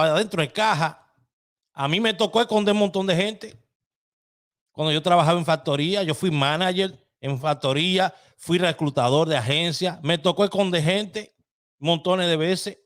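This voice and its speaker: Hombre dominicano apasionado, voz algo aguda. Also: male voice, sits high, moderate pace, a bit bright. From Dominican Republic. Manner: impassioned, colloquial, addresses listeners directly. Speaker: host. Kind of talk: live opinion commentary.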